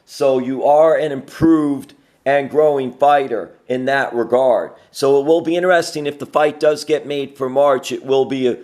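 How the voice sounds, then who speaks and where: A man's voice: medium pitch, moderate pace, darkish tone. A man hosting a conversational sports interview, asking long, encouraging questions. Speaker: interviewer, host.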